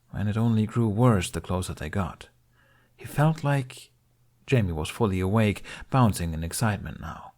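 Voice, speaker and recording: A man reading a fantasy story aloud as narrator, in an unhurried, measured way. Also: fairly low pitch, a little mellow. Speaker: fan fiction audiobook reader.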